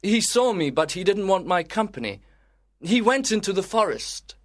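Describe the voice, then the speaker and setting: A man's voice: high voice, big pitch swings, normal speed, pitched above the performer's own voice. A man voicing a concerned, friendly young man who questions his cousin earnestly.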